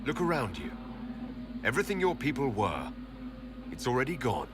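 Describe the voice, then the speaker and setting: A man's voice: mid-range voice, wildly swooping pitch, normal speed, noisy background. A man playing a stern, commanding hero who leads a party in a fantasy game. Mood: challenging. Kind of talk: dramatic fantasy game acting. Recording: game music behind the voice.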